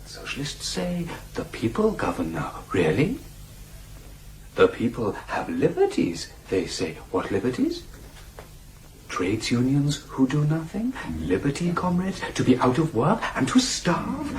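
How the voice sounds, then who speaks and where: An actor playing a fanatical nationalist demagogue and ex-soldier, declaiming at a meeting in a radio drama. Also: male voice, a little high, huge pitch swings, measured pace, a little hiss or hum, rough recording. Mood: bitter, rousing. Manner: declamatory, builds to shouting. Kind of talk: radio drama political oratory.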